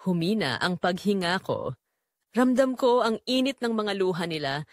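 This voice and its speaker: A woman performs a dying mother who has suffered quietly for years, narrating an audio drama. Her delivery is sorrowful and pleading, with lively rises and falls in pitch. The sound is dry and free of room echo. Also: normal speed, natural voice. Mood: resigned. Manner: solemn, sorrowful, pleading storytelling.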